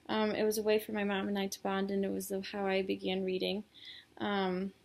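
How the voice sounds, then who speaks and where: A young woman from Illinois, a college student whose first language is Polish, giving a class presentation with many ums and restarts. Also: medium pitch. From Illinois, United States. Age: young adult.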